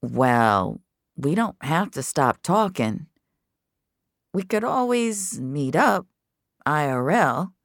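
Audio drama role, voiced by a woman: subdued, apologetic young man, casual and hesitant, low register, dry sound. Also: big pitch swings, takes it slowly, pauses often, no room sound, full character voice. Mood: tentative. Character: Soren, low-key, apologetic young male online friend.

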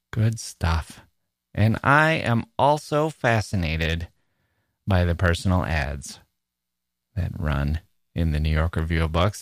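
A man's voice: lowish voice, fairly bright tone, no room sound. A middle-aged American man hosting a podcast in a casual, chatty, wry monologue on a close microphone. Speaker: podcast host.

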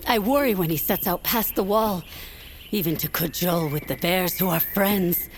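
A woman playing an anxious, affectionate highborn aunt in a fantasy audio drama, speaking in formal, old-fashioned phrases. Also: normal speed, a little hiss or hum, natural voice. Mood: anxious, pleading. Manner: formal, archaic phrasing, hesitant.

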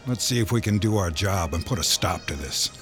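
An actor playing an impatient, commanding county sheriff in a radio drama. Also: male voice, deep voice, expressive, fairly quick, a bit bright, faint music behind. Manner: brusque, commanding. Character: gruff middle-aged male county sheriff, impatient.

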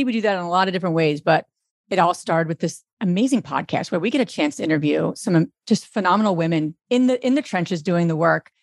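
A woman's voice: lowish voice, gravelly, dry recording, studio quality. A woman co-hosting a podcast, welcoming listeners and introducing the guest in an upbeat way. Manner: upbeat, quick. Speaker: podcast co-host.

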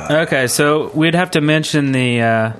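A man's voice: fairly high pitch, normal speed, fairly bright tone, a little hiss or hum. A man hosting a casual gaming podcast, explaining things in an informal, rambling way. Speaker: podcast host.

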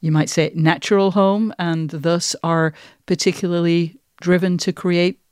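A woman's voice: fairly low pitch, steady tone, a little slow, darkish tone, clear, resonant tone, no room sound, clean studio sound. A woman hosting a podcast, giving thoughtful conversational commentary in articulate, considered phrases. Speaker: podcast host and writer.

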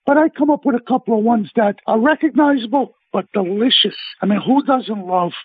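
A man's voice: very high voice, a little fast, slightly rough, no room sound. Chatty Brooklyn man on a tinny phone line. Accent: Brooklyn New York. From Brooklyn, New York. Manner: chatty, emphatic, salesman-like banter. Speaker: celebrity chef guest. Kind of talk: casual phone-in radio chat. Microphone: telephone.

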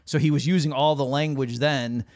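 An animated man co-hosting a conversational talk show. His voice sits at an ordinary middle pitch, and he slips into quick put-on voices when he quotes others. The recording is dry and close, with no room sound. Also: dry recording. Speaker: co-host. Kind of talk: conversational podcast discussion.

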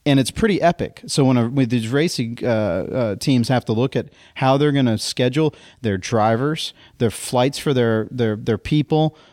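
Chatty man host, explains in long runs, mid pitch, dry close sound. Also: moderate pace, hardly any pauses, dry recording. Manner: animated explainer, talks in long runs with "right?". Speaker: podcast host. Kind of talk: casual chat.

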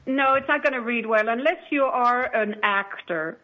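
A woman's voice: a little high, moderate pace, highs cut off. A woman guest in a conversational interview, explaining things emphatically and repeating phrases as she goes. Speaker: podcast guest, online book platform founder.

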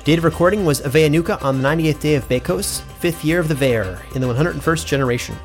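A man playing a fussy archivist in a fantasy audio drama, musing aloud in a measured way. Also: fairly high pitch, soft background music, close to an everyday voice. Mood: businesslike. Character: fussy, musing male archivist keeping recordings in a fantasy world. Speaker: in-world recorder reading credits. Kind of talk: scripted audio drama monologue.

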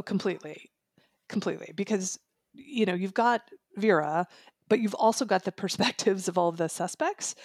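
A woman chats informally about books as a podcast guest. Her voice is fairly low with plenty of vocal fry, recorded very dry. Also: no echo. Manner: chatty, informal. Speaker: book reviewer guest. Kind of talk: casual podcast discussion.

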